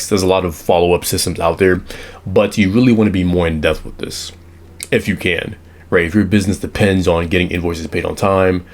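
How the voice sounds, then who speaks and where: A young man with a hoarse voice, just over an illness, giving a rambling casual tutorial on automations and correcting himself as he sketches on an iPad. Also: low voice. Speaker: automation consultant. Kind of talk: casual screen-share tutorial.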